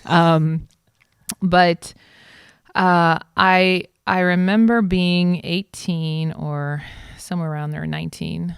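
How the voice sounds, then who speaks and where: A woman raised in Israel who speaks with an American accent, a ministry leader telling her story as a podcast guest in a casual, animated way with many fillers. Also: on the low side, takes it slowly, vocal fry, clear, resonant tone, no room sound, clean studio sound. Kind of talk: casual interview conversation.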